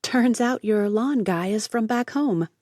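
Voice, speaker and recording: A chatty, teasing young woman bookseller, voiced by a woman narrator, with a lively, lifted tone. She banters quickly and sounds sure of herself, recorded close and dry. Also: fairly high pitch, no room sound, pitched above the performer's own voice. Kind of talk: audiobook character voice.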